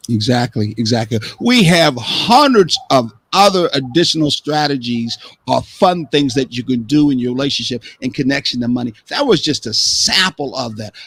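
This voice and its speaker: A man's voice: medium pitch, animated, normal speed, few pauses, fairly bright tone, slightly rough, dry recording. A man hosting a live-stream talk show, speaking earnestly and rambling with repeated words. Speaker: host.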